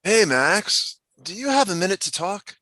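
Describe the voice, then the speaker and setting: A man playing a casual, nosy high school principal in a comedy script read. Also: big pitch swings, moderate pace, pushed and bright, full character voice. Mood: confiding.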